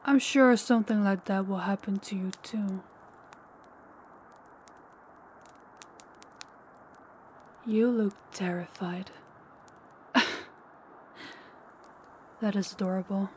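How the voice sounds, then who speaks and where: A woman's voice: medium pitch, steady tone, unhurried, pauses often, long reverb tail, a little hiss or hum, close to an everyday voice. A woman playing a menacing, possessive ancient female vampire in an intimate audio roleplay, taunting and commanding, sometimes dropping toward a whisper. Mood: possessive, gloating. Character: possessive, menacing older female vampire, toying with captive huntress.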